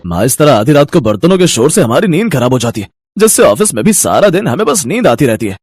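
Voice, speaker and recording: A man voicing an easygoing young husband with a husky voice in a cartoon family story. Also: fairly high pitch, sing-song, quick pace, darkish tone, no room sound. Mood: complaining.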